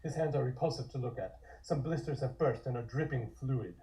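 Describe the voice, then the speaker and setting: A man's voice: mid-range voice, brisk, warm tone, rough recording. A man reading scripted horror stage directions as the narrator of a table read.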